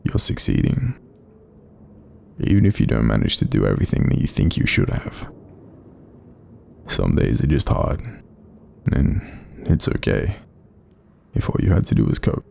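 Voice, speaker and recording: Casting: Australian male actor as a gentle bedside demon, mellow and soft-spoken with lively intonation, self-deprecating and reassuring, for intimate roleplay audio. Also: heavily acted. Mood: tender, encouraging. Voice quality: very deep, breathy, creaky. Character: kindly, apologetic male sleep paralysis demon comforting someone.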